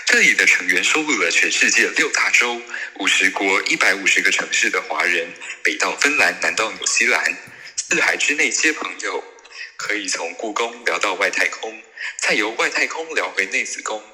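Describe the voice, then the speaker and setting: A man's voice: fairly low pitch, animated, moderate pace, sharp tone. A man hosting a show, reading a scripted introduction. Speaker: show host. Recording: echoey hall sound. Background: music.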